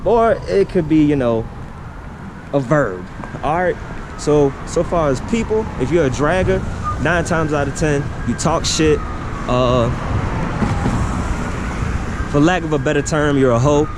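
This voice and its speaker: A man's voice: fairly high pitch, measured pace, long pauses. A man with a Baltimore accent, talking casually and full of slang as he walks the city for a vlog. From East Baltimore. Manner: laid-back slangy chatter. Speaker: vlogger. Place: city street outdoors. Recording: loud street noise.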